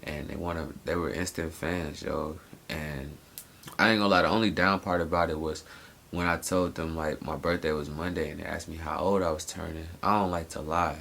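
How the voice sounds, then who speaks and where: A man's voice: extremely low pitch, steady tone, moderate pace, gravelly, fairly bright tone, a little hiss or hum. A man in his late twenties talking through his day in a loose, rambling vlog monologue, full of slang and restarts. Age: 20s. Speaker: vlogger. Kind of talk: casual personal monologue.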